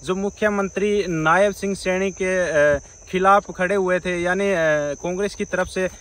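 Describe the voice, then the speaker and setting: A man presenting an informal news report to viewers in a halting, repetitive way with frequent hesitations. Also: high-pitched, flat delivery, a bit bright, rough recording.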